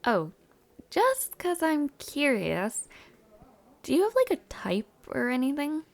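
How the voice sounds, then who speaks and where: A shy but playful college girl acted by a young woman, speaking in an intimate roleplay style. Her pitch moves around a lot and her pace is unhurried, with a creaky edge to the voice. She comes across as warm, hesitant and gently teasing. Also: wildly swooping pitch, heavily acted. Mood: coy, curious. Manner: hesitant, giggly, softly teasing. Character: warm, shy, playful female university student with a crush. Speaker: audio roleplay performer. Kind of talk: intimate audio roleplay acting.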